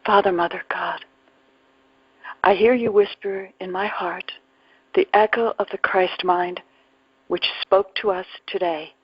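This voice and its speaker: A woman leading a guided spiritual meditation in a contemplative, unhurried way, speaking phrase by phrase with long pauses, her voice breathy and at times close to a whisper. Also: mid-range voice, steady tone, no echo. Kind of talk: guided meditation, reflective spiritual talk with readings. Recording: dull, muffled lo-fi audio.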